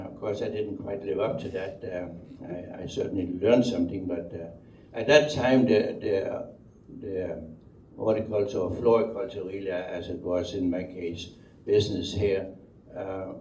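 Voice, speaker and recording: A distant, echoey recording of an older man with a low, mellow voice and a Danish accent. He recalls his past in a halting, rambling way, often doubling back on words. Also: fairly even intonation. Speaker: speaker telling life story. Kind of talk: unscripted oral history storytelling. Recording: dull, roomy, distant microphone, faint noise.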